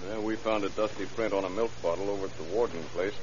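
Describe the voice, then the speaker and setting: A man's voice: lowish voice, fairly even intonation, quick pace, lightly acted. An actor playing a determined male police lieutenant in an old radio drama, talking in a clipped, businesslike manner. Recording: muffled, hissy old radio recording.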